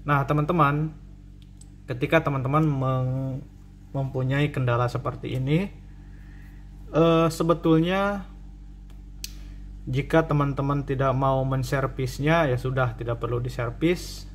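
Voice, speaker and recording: A man with an average-pitched voice presents an informal hands-on tutorial. He explains like a technician at his workbench, often repeating and rewording himself. A light layer of humming noise sits behind his voice. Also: a little slow, long pauses. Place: indoor cluttered repair workbench.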